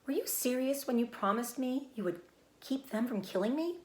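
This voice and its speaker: A woman's voice: high-pitched, animated, normal speed, echoey room, voice pushed up. A woman reading a children's storybook, voicing an innocent, anxious young male pig who talks in an earnest, childlike way. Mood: fearful, tender. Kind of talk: children's storybook read-aloud.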